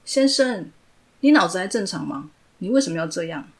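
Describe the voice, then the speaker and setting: A woman's voice: mid-range voice, lively intonation, a little fast, close to an everyday voice. A woman voicing a cool, blunt teenage girl genius who prods with questions. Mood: prodding.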